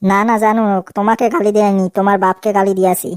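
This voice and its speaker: Woman acting fast-talking cheeky cartoon boyfriend. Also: medium pitch, level tone, clean tone, dry recording, studio quality, close to an everyday voice. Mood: placating. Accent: Bengali. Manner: placating, cheeky. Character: young boyfriend, placating, cheeky, sly wisecracker. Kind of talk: comedy cartoon dialogue.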